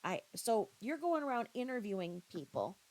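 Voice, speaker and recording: A woman giving critical, confrontational reaction commentary, scornful and halting, often repeating her phrases. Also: on the high side, very animated. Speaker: commentator reacting to another creator's livestream.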